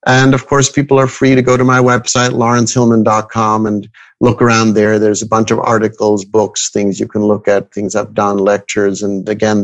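A man in his fifties from Switzerland speaking as an expert guest in an interview, reflective and halting, repeating phrases for emphasis. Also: on the low side, rough recording. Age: 50s. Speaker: guest expert, astrologer and coach. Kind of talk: interview conversation.

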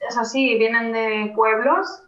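A woman's voice: a little high, steady tone, slow pace, a bit bright. A young woman with a Spain Spanish accent, telling her story steadily as an interview guest. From Vigo, Galicia, Spain. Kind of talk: casual conversational interview. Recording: echoey, dull remote audio.

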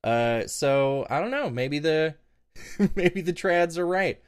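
A man's voice: on the high side, lively intonation, slow, clean tone. A man co-hosting a podcast, reading research out in a dry mock-academic way with laughing asides. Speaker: podcast co-host. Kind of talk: casual podcast chat, reading research aloud.

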